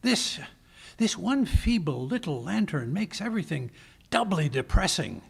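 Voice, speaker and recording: An actor playing a flustered, nervous English gentleman, stammering and blustering in the style of 1940s radio drama. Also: high-pitched, big pitch swings, measured pace, vocal fry, breathy edge, heavily acted. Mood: uneasy. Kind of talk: 1940s radio drama acting, performed live.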